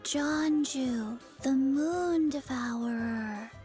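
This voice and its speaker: Little zombie girl, high voice, extremely slow, halting, deadpan, sleepy, forgetful, video game. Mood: halting recall. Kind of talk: anime-style video game voice acting. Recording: game dialogue over music.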